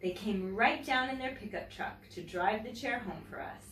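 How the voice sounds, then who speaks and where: A woman teacher reading a picture book aloud to children in an expressive storytelling manner, pausing to comment and ask questions. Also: fairly high pitch. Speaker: teacher and story-time host. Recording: roomy, distant mic, faint background noise.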